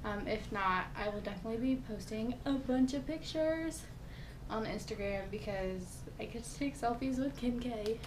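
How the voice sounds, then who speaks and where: A young woman in her twenties talking casually to a handheld camera, chatty and excited, with many ums. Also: on the high side, noisy background. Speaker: vlogger. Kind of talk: casual vlog chat. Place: small home room with fan, indoors. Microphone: action camera held at arm's length.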